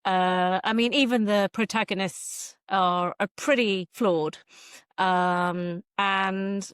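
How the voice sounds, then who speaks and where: A woman's voice: medium pitch, fairly even intonation, slow, clear, resonant tone, no room sound, clean studio sound. A woman author in a casual interview, talking in a wry, chatty way.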